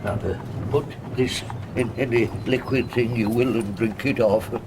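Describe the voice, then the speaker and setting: Man acting a halting, slow, wretched poor apothecary. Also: medium pitch, steady tone, background noise, natural voice. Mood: resigned, furtive. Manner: hesitant, mumbling hums and grunts. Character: starved, wretched, fearful poor apothecary, reluctantly selling poison. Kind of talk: classical Shakespearean radio drama acting.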